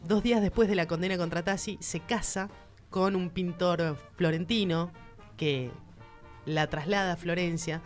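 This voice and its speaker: A Rioplatense woman talks through art history in a loose, chatty way, leaning on emphasis and turning to the listener often. Her voice sits somewhat low for a woman. Soft music hums faintly behind her. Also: fairly even intonation, measured pace. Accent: Rioplatense Spanish. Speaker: culture segment host. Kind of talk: casual explainer talk on art history.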